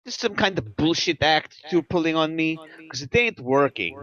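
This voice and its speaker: A man's voice: on the high side, big pitch swings, normal speed, a bit bright, highs cut off. A man acting a reluctant veteran cop near retirement, complaining and exasperated in a screenplay table read. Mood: gruff, suspicious.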